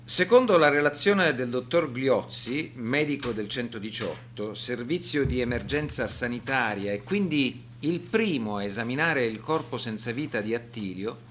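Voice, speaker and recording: Casting: male narrator for a radio documentary drama, medium pitch, measured, formal and serious delivery. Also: expressive, a bit bright, slight background noise.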